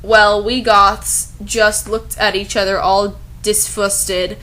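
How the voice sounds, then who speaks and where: A woman's voice: mid-range voice, level tone, slow, sharp tone, poor audio. A woman voicing an angry, melodramatic teenage goth girl who narrates her own story in an overwrought, self-important way. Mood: sullen.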